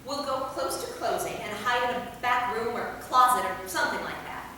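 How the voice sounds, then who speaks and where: Actress as a firm no-nonsense vampire lady, kinda high voice, stage reading, echoey w/ noise. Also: moderate pace, pushed and bright. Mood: matter-of-fact. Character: determined, practical, strong-willed female vampire. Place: theater hall, recorded from a distance. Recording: very echoey hall, far mic, noisy.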